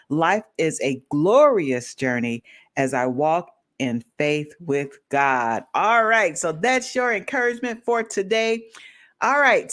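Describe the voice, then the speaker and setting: A woman hosting a live online show, excited and enthusiastic, greeting viewers and interviewing a guest. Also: low voice. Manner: excited, enthusiastic, repeats exclamations. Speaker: host. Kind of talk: upbeat live-stream interview.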